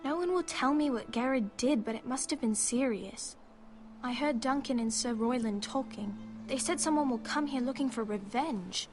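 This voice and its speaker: A high-pitched young noblewoman voiced by an actress from a medieval fantasy game. She is an earnest, protective sister who reassures gently, with music playing clearly behind her. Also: moderate pace, natural voice. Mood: concerned, firm. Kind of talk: dramatic video game voice acting.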